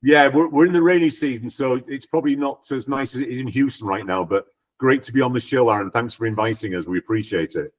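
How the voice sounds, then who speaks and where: A man's voice: medium pitch, fast. Easygoing Scottish-born man in his sixties. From Scotland. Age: 60s. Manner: self-deprecating, easygoing, many fillers. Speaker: guest, company co-founder. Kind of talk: casual interview chat. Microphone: video call.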